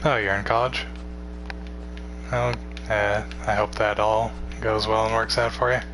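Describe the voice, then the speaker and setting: Young male streamer, 21, tired, low even delivery, loose and rambling, noisy recording with a hum. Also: a little slow. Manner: rambling, relaxed, swears casually. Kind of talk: casual chat with stream viewers.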